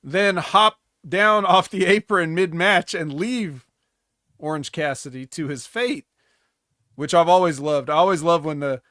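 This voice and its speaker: Bright wry man recapping wrestling. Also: on the high side, dry recording, clean studio sound. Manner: rambling, wry, full of fillers. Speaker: host. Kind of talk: casual recap chat.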